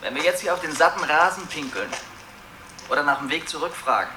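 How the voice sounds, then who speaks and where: A man's voice: on the high side, lively intonation, fairly quick, close to an everyday voice. An actor in a radio drama playing a desperate male drifter, theatrical, sarcastic and erratic. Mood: bitter. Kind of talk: German radio drama acting. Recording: distant, faint background noise.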